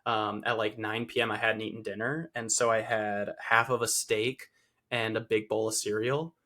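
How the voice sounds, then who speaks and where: Congested nasal man, bright voice. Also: lowish voice, level tone, normal speed. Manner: rambling, filler-heavy, self-correcting. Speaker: podcast co-host, fitness coach. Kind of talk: casual chat.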